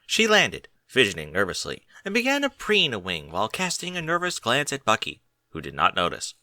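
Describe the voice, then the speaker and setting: A man's voice: on the low side, wildly swooping pitch, brisk, pushed and bright, no echo, clean studio sound. A man reading a story aloud as scripted narration. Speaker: audiobook reader of fan fiction.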